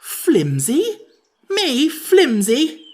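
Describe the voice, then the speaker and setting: A woman's voice: medium pitch, big pitch swings, slow pace, pitched above the performer's own voice. A woman voicing a prim, easily offended male pumpkin-headed figure in a storybook reading. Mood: indignant.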